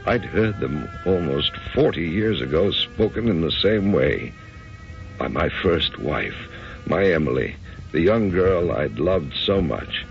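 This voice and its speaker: An actor playing an older, grieving husband in an old-time radio drama, anguished and apologetic, speaking haltingly and broodingly. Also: male voice, deep voice, animated, gravelly, soft background music, full character voice. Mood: sorrowful, haunted. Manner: hesitant, brooding, halting delivery. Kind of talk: old-time radio drama acting and first-person narration. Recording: dull, noisy old radio recording.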